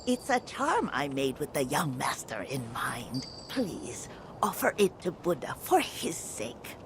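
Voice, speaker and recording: Game role: frail-minded doting old woman, fussing and wandering, very animated pitch, unhurried, noisy background. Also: exaggerated voice. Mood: muddled, fond. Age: older. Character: confused, doting old mother with a failing mind. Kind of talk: video game cutscene acting.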